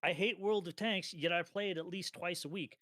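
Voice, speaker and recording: A man's voice: sits high, a little fast, no echo, studio quality. A man in his thirties co-hosting a casual gaming podcast, telling stories with lots of laughter and casual swearing. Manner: laughs often, animated storytelling, swears casually. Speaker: co-host.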